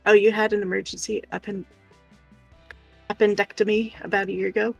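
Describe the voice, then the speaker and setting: A woman's voice: mid-range voice, flat delivery, brisk, creaky, no room sound, soft background music, low-quality recording. An American woman co-hosting a livestream, chatting casually with viewers. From United States. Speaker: livestream co-host.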